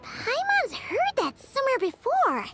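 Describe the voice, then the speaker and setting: A woman voicing a tiny, chatty, excitable fairy companion with a squeaky childlike voice in an anime game. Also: unhurried, exaggerated voice. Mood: curious, doubtful. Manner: refers to self in the third person. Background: faint music.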